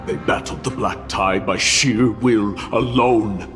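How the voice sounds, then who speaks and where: A solemn, weary male warrior from a fantasy game. His deep voice moves slowly and deliberately, with grave weight on each phrase, over prominent music. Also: fairly even intonation. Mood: grave recounting. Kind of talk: dramatic video game voice acting.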